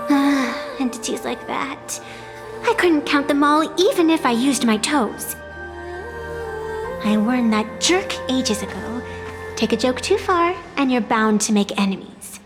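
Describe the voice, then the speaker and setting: An ancient sacred tree spirit that speaks with sly, amused mockery. The voice sits a little high, carried in a long reverberant space. Soft game music plays underneath. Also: female voice, animated, slow, pauses often, full character voice. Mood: mocking. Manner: teasing, amused, mocking. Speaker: game character. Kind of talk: video game voice acting.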